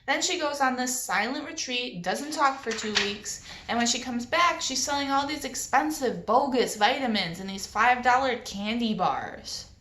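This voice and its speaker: A woman's voice: on the high side, moderate pace, hardly any pauses, bright, forceful tone, reverberant, slight background noise. A woman voicing a scornful, sarcastic boy from a children's novel, blunt and griping. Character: scornful, sarcastic boy, narrator's best friend.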